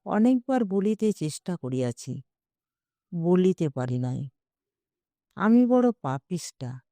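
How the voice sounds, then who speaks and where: A woman's voice: fairly low pitch, sing-song, slow pace, dark tone, no room sound, exaggerated voice. A woman reading the part of an anguished, resigned young wife in an audio story, grave and resolute. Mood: anguished, guilty.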